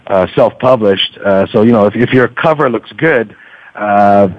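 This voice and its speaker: Guy guest explaining stuff on a radio interview, lots of uhs, halting, dry muffled lo-fi audio. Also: fairly low pitch, dry recording. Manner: halting, frequent uhs and repeated words. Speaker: guest, book podcaster. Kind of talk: conversational explaining and advice.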